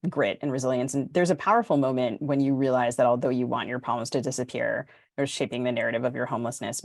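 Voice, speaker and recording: A woman's voice: rumbling low, vocal fry, a little mellow, no echo, clean studio sound. A woman hosting a literary podcast, putting considered questions to a guest and quoting from a book. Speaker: podcast host.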